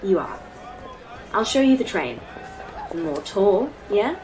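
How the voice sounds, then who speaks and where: An actress playing a polite, proud artificial intelligence tour guide in a sci-fi audio drama, speaking formally and precisely. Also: female voice, medium pitch, very animated, takes it slowly, darkish tone, slight background noise, rough recording. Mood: reassuring, brisk. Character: polite, proud female A.I. tour guide in lifelike human form. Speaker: actor in a sci-fi horror fiction podcast.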